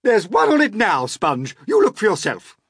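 A man voicing a shrill, bossy, excitable middle-aged aunt who shrieks her commands in a children's storybook. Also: normal speed, husky, no room sound, clean studio sound, heavily acted. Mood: insistent. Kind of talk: dramatic children's storybook character reading.

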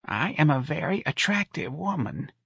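Fierce, senile old woman, played by a man, high-pitched, snarling audiobook character. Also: huge pitch swings, drawn-out words, pushed and bright, slightly rough, no echo, clean studio sound, heavily acted. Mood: sleepy mumbling. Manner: snarling, mumbling, demanding.

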